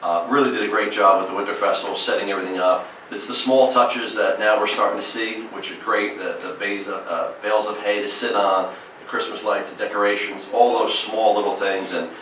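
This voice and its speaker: Mayor with a New Jersey accent reporting at a council meeting, bright forceful voice, heard from across an echoey chamber. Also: male voice, fairly low pitch, fairly even intonation, brisk, far from the mic, a little hiss or hum. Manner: upbeat, listing events with frequent "uh" fillers. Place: large council chamber, indoors.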